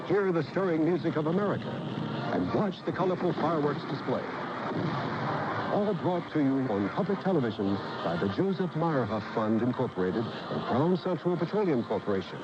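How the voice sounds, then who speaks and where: A man's voice: fairly high pitch, sing-song, normal speed. A man reading a scripted promotional radio announcement in a rousing, polished announcer style. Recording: dull, noisy AM radio broadcast.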